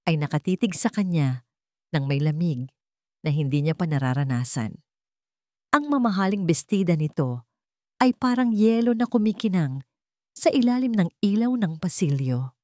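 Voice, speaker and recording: A woman with a Filipino accent narrating a scripted dramatic story in measured, suspenseful short phrases. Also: lowish voice, expressive, dark tone, dry recording, studio quality.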